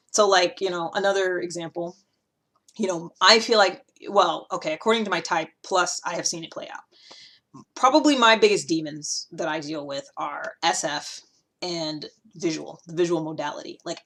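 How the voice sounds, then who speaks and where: A woman's voice: on the low side, fairly bright tone. A woman hosting a casual video monologue, talking informally and conversationally to viewers in a rambling, self-deprecating way. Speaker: video host.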